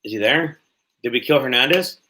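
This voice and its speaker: A man's voice: mid-range voice, animated, fairly quick, pushed and bright. A man in his late thirties hosting a casual livestream podcast, rambling and cursing freely as he chats. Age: 30s. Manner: rambling, swears freely, repeats himself. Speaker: podcast host.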